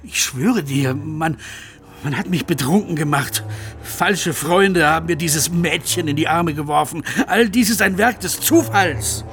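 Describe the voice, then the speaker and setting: An actor in a German radio drama playing a passionate, pleading, lovestruck gentleman from Brazil. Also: fairly high pitch, a little slow, soft background music. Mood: desperate, pleading. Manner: impassioned, pleading.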